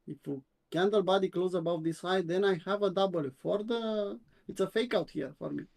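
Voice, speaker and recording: A man from Romania with a Romanian accent, reviewing crypto charts on a live stream in a halting way, with many fillers and repeats. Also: high-pitched, creaky, a little mellow. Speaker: co-host, crypto chart analyst.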